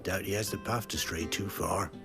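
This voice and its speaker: A man's voice: deep voice, steady tone, normal speed, creaky, music in the background. A man playing a chatty, wry fantasy villager in a video game, speaking colloquially with a British accent. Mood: wry, amused. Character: folksy, chatty middle-aged village man in fantasy realm. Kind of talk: video game cutscene acting.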